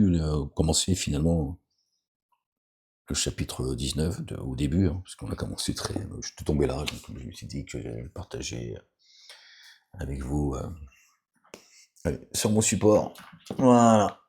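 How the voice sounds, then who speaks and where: A man with an extremely low, deep voice preaches in an offhand, rambling way. He keeps breaking off with fillers and asides to the people listening. Between readings he admonishes them with stern warnings. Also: darkish tone. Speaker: religious commentator reading scripture. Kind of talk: informal spoken sermon with scripture reading.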